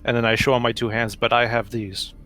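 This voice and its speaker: A man playing a tabletop roleplaying game, moving between casual chat and acting out his character with halting, deliberate phrasing. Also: mid-range voice, level tone, fairly bright tone, faint music behind.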